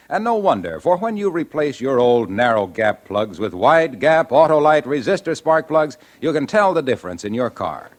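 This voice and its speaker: High, animated male radio pitchman. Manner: emphatic sales delivery. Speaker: radio announcer and sponsor pitchman. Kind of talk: 1940s radio commercial announcing. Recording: vintage radio recording with music behind.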